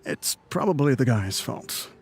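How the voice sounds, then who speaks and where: A man's voice: medium pitch, sing-song, measured pace, faint music behind. An actor playing a boastful, dying old desert leader in a game, rambling and teasing as he narrates a letter. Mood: wry, fond. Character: roguish, boastful ageing desert leader, dying adoptive father.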